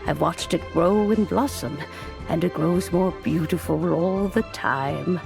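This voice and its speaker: An actress voicing an elderly, fond grandmotherly mentor in a game cutscene who talks gently and unhurriedly. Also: on the low side, vocal fry, over music, low-quality recording. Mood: serene fond. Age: older. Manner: gentle, unhurried, softly laughing. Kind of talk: video game cutscene voice acting.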